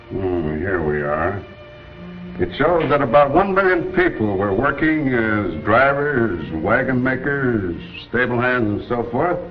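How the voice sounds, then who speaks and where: A man's voice: mid-range voice, close to an everyday voice. Old muffled 1930s film w/ music, actor as folksy american old-timer workin man explaining stuff, plain-spoken and emphatic. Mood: patient, explaining. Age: older. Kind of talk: 1930s educational film acting.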